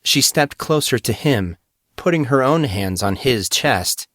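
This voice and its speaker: A man's voice: medium pitch, expressive, unhurried, fairly bright tone, no echo, clean studio sound. A man reading scripted fantasy fiction as the narrator of a story podcast.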